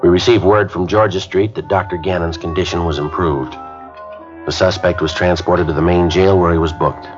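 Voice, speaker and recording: A man's voice: deep voice, steady tone, normal speed, close to an everyday voice. An actor playing a police detective who narrates his case in a clipped, matter-of-fact way in a 1950s radio drama. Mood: flat. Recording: muffled old radio recording with music.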